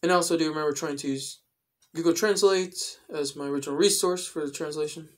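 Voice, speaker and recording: A young adult man explaining things in a casual vlog style, with careful, halting phrasing, recorded indoors at home. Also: a little high, fairly even intonation. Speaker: video creator. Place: indoors, small room at home.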